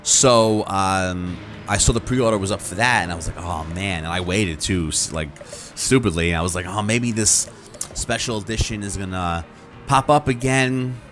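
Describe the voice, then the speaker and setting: A man's voice: low-pitched, normal speed, a bit bright, soft background music. A man talking casually and conversationally to his viewers as a video host, rambling and acting out imagined reactions. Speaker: YouTube host.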